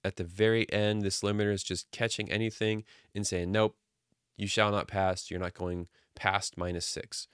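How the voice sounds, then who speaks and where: A man in his thirties casually walking through his microphone filter settings from a small quiet home studio, relaxed and jokey. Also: lowish voice, moderate pace, smooth, dry recording. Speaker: streaming audio tutorial host. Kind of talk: casual screen-share tutorial. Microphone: studio dynamic mic on boom arm.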